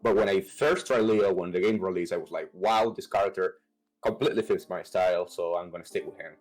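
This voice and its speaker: A young man from Venezuela with a Venezuelan Spanish accent, answering interview questions about his gaming in a halting way, with many fillers and restarts. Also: deep voice, darkish tone, faint music behind, low-quality recording. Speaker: competitive fighting game player.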